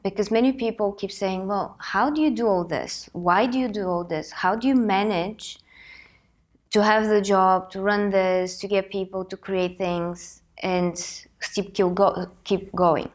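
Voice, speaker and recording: A woman's voice: mid-range voice, fairly even intonation, measured pace. A woman in her thirties from Bulgaria hosting a personal motivational talk, speaking earnestly and reflectively while she has a cold. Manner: earnest, reflective, thinking aloud. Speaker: host.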